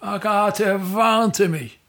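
Pompous, creaky old stage actor. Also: male voice, high voice, fairly bright tone, slight background noise, heavily acted. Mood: disgusted, complaining. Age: older. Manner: grandiose, declamatory, querulous, with dramatic pauses. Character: pompous, embittered, complaining aging state actor, male. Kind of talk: theatrical radio play monologue.

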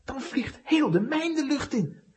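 Lively, earnest young boy. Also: high-pitched, a little slow. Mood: urgent, exasperated. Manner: earnest, explaining. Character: sensible, alert young boy adventurer. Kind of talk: children's audio drama acting.

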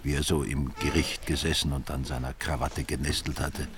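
A wry crime-story narrator with an extremely deep voice. He tells the tale in a deadpan, sardonic way, as scripted radio drama narration. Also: brisk.

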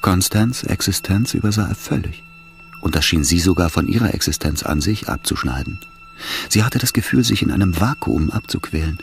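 A man narrating a literary radio drama in a measured, solemn manner. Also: fairly low pitch, huge pitch swings. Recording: orchestral music behind the voice.

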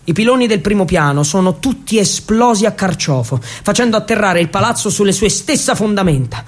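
High-voiced man, theatrical storyteller. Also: lively intonation. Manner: theatrical, with deliberate repetitions and ironic asides. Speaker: actor and storyteller. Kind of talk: dramatic narrative theatre monologue.

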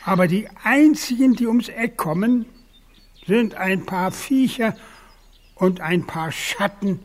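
An actor playing a bitter, imploring old farmer who waits for death in a radio play. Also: male voice, high-pitched, slow. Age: older.

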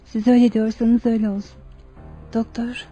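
A woman acts a lost, timid young patient in radio theatre. Her voice is high and very mellow, soft and pleading, over clear background music. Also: flat delivery, brisk, natural voice. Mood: timid, attached. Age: young adult. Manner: soft-spoken, polite, pleading. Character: timid, clinging young female hospital patient without memory. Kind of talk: Turkish radio theatre acting. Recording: muffled old radio drama with music.

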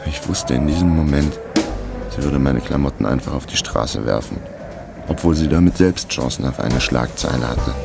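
Laconic fixer telling his case, super low creaky voice, music behind it. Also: moderate pace. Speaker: narrator and protagonist. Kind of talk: first-person radio crime drama narration.

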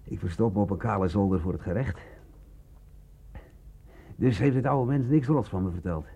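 A man in a radio drama playing a sick, wry young man in hiding, with a rough voice and an ailing delivery. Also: fairly low pitch, moderate pace, mellow. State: coughing, ill with pneumonia. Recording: muffled, tinny lo-fi radio play recording.

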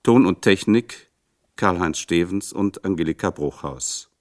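A male announcer reads formally from a script for radio. His voice is deep and he takes his time, pacing each phrase very slowly.

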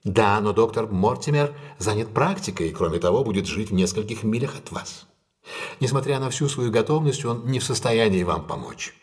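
An actor playing a composed, decisive gentleman detective in an audio drama, speaking with measured, courteous authority. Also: on the low side, lively intonation, lightly acted.

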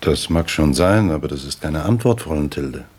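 An actor playing a courteous, earnest gentleman suitor weakened by illness in a German radio drama, speaking with gentle pleading. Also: rumbling low, lively intonation, moderate pace, husky, full character voice.

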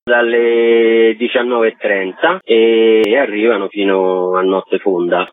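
A man's voice: on the low side, little pitch movement, slow, a little hiss or hum. A man giving spontaneous answers as an interviewed event organiser. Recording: tinny, narrow phone-like line.

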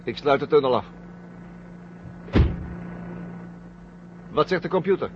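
An actor playing a smug, scornful traitor astronaut who talks in a mocking, condescending way, in a 1970 Dutch radio drama. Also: male voice, fairly high pitch, animated, racing, background noise, natural voice. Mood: businesslike. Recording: muffled, humming 1970 radio recording.

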